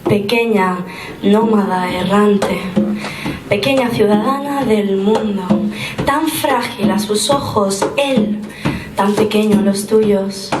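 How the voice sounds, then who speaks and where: A young woman in her twenties from Spain, of Moroccan family, performing spoken word poetry in a slow, rhythmic, deliberate way on a live stage. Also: mid-range voice. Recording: roomy live room, distant mic, loud music. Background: loud instrumental music.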